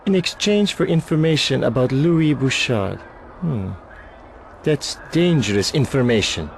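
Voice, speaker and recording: A man's voice: mid-range voice, animated, unhurried, gravelly, a little hiss or hum. An actor playing a shady, guarded barman informant in a video game, with a wary, shifty way of talking. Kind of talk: video game cutscene acting.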